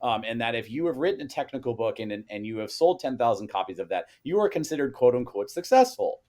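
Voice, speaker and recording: A man's voice: medium pitch, big pitch swings, fast talker. A man, a co-author on a podcast, chatting casually and working out numbers aloud as he goes. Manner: rambling, works sums out loud.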